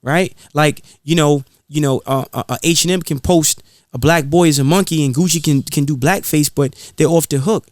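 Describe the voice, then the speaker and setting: A man's voice: fairly high pitch, moderate pace, no room sound, clean studio sound. A man speaking in an impassioned, preachy podcast style, repeating phrases for emphasis. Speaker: guest rapper being interviewed.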